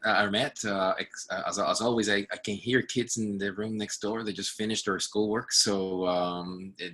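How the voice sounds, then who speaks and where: A man from Puerto Rico, an artistic director, chatting on a video call in a lively, self-deprecating way with quick restarts. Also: lowish voice. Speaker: artistic director and faculty member. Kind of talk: casual group check-in on a video call.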